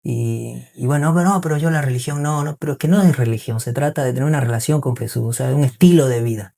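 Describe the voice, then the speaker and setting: A man's voice: mid-range voice, quick pace, gravelly, mellow. A man from Bolivia with a mixed Bolivian and Argentine accent, chatting casually as a podcast guest, explaining things earnestly and often checking that he is understood. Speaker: interview guest, singer and musician.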